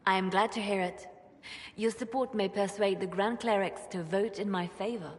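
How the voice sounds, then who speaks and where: An actress playing a devout, idealistic reformist churchwoman in a video game, gentle and impassioned, speaking in measured, earnest oratory. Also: mid-range voice, big-room sound, slight background noise, lightly acted. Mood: wistful, devout. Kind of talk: dramatic video game dialogue.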